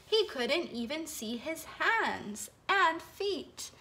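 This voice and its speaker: A young woman reads a children's felt board story in a high, bouncy voice that swoops up and down. She takes her time, dropping to whispers and gasping for effect. It sounds like a phone recording made in a living room. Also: takes it slowly. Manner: sing-song, expressive, gasps and whispers for effect. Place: home living room, indoors. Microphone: phone camera held over the felt board.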